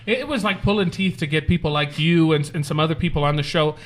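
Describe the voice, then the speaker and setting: Playful high-voiced male talk show host. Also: fast. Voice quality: high-pitched voice. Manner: playful, teasing, jokey. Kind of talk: casual interview banter.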